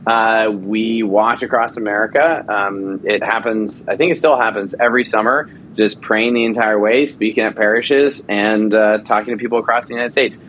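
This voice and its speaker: A man's voice: on the low side, a bit bright, slight background noise, rough recording. A man in his thirties, an actor and producer, telling stories eagerly as an interview guest and using many fillers. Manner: enthusiastic storyteller with frequent fillers. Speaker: actor and audio drama producer. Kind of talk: casual interview chat.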